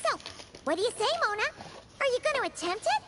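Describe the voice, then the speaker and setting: A woman voicing a small, childlike, excitable fairy companion from a video game, with a squeaky voice. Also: fast talker, very noisy. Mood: curious, skeptical. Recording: loud game music behind.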